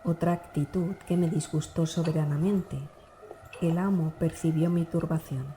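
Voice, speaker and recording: A woman narrating a dark classic novel as an audiobook, in a measured, formal storytelling manner. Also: low-pitched, fairly even intonation, slight background noise.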